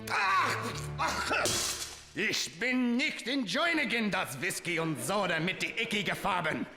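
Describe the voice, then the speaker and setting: A barking mock-German emperor. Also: male voice, sits high, sing-song, a little slow, gravelly, reverberant, long reverb tail, music in the background, exaggerated voice. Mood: blustering. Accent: comic fake German. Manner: barking, guttural, mixes German and English. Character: blustering mock-German emperor, middle-aged man. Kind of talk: live radio comedy acting.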